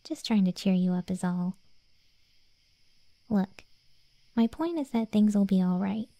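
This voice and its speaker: A woman voicing a cocky, cheeky undead cat girl in a soft, breathy, slangy ASMR roleplay. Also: medium pitch, lively intonation, measured pace, no room sound. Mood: upbeat consoling. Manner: soft and breathy, often near whispering, slangy, teasing.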